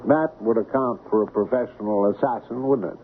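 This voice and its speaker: An actor playing a guarded, genteel shipping boss in an old radio mystery, formal, deliberate and halting. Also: male voice, fairly low pitch, close to an everyday voice. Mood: smooth, insinuating. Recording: narrow, noisy old radio broadcast.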